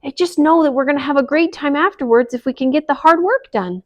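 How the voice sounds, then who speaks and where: A woman's voice: high-pitched, fairly quick, pitched above the performer's own voice, natural voice. A woman playing a cheerful mother hen. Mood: encouraging. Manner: upbeat, encouraging.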